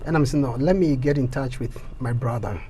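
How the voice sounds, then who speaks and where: A Ghanaian man speaking in an interview, switching between English and Twi, animated and often repeating words as he stammers. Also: mid-range voice, a little fast, slight background noise. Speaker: interviewee, local election candidate.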